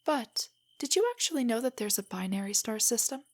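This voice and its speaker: A woman acts out a sweet, nerdy young girlfriend in an intimate audio roleplay. Her voice is fairly high and breathy, often slipping toward a whisper. She rambles eagerly and a little shyly, with affection for the one listener. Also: expressive, fairly quick. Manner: rambling, giggly, hesitant, explaining trivia.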